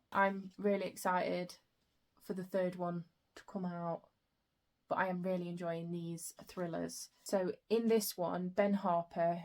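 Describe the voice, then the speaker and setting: Soft, flat-voiced woman with a croaky throat. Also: lowish voice. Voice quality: soft, unhurried, with frequent "um" and "like" fillers. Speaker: book vlogger. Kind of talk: casual reading vlog chat.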